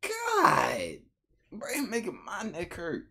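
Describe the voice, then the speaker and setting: A young man with a husky voice giving a casual, slangy, incredulous reaction commentary in a room at home. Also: sits high, huge pitch swings, slow, bright, forceful tone. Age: young adult. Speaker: reaction video host. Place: small room at home, indoors.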